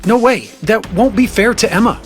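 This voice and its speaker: A man's voice: high voice, moderate pace, faint music behind, natural voice. An actor playing a weak-willed, easily swayed young man in an audio drama. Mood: uneasy, pleading.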